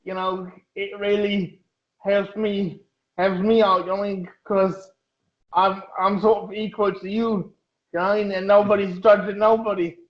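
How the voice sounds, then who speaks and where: A man in his thirties from Germany, talking haltingly as an interview guest, with many fillers and restarts. His voice is high and flat, with little rise and fall. The sound is echoey and dull, like a lo-fi room recording. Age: 30s. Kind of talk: casual interview.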